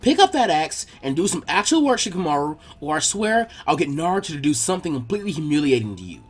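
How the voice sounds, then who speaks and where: A man's voice: a little high, sing-song, quick pace, slightly rough. Guy doing a bossy ninja girl, firm and nagging, fan story reading with faint music. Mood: threatening.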